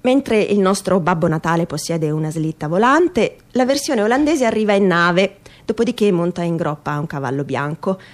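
A woman performing a theatrical reading of a humorous first-person essay in a wry, mock-earnest and expressive manner. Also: fairly low pitch, moderate pace, hardly any pauses.

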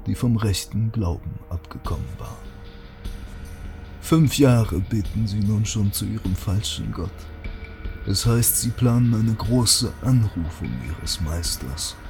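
A man playing a zealous fantasy cardinal reads out a chronicle in an audio drama. The voice is low and dark, and the delivery is slow, grave and preaching, with faint music behind it. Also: natural voice. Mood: grave, foreboding. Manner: solemn, preaching, archaic and measured. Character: devout, zealous male cardinal chronicler condemning heretics. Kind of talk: solemn scripted chronicle narration for audio drama.

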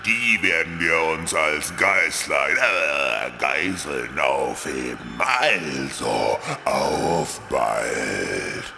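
An actor playing a gloating computer virus gang leader in a children's audio drama, gravelly and taunting. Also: male voice, rumbling low, lively intonation, drawn-out words, few pauses, pushed and bright, a little hiss or hum, heavily acted. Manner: taunting, drawling.

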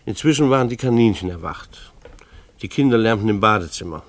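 An actor playing a mild-mannered, beleaguered schoolteacher husband who narrates a German radio play in a wry, earnest, hesitant way. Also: male voice, lowish voice, animated, a little fast, lightly acted. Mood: wry narrating. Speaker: narrator and lead character.

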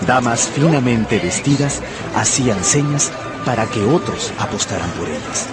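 A man's voice: mid-range voice, animated, normal speed, natural voice. An actor playing an anxious, tempted gambler who narrates his own story in a radio drama. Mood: absorbed, observant. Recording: loud music and crowd behind the voice.

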